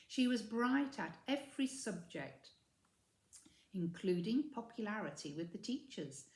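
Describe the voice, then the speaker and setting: A woman reading a children's story aloud with measured, expressive emphasis, recorded in an echoey room. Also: on the low side. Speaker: story reader.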